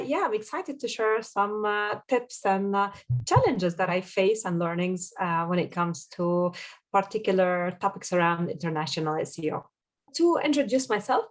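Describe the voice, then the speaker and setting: A woman from Jordan whose first language is Arabic, explaining things as an interview guest with frequent hesitant pauses. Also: mid-range voice. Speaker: guest, SEO consultant.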